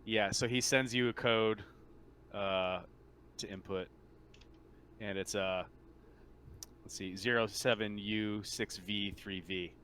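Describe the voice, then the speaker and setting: A man with a fairly low voice runs a tabletop roleplaying game. He describes scenes conversationally, making them up as he goes. There is some noise behind him. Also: steady tone, slow, pauses often, a bit bright. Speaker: game master.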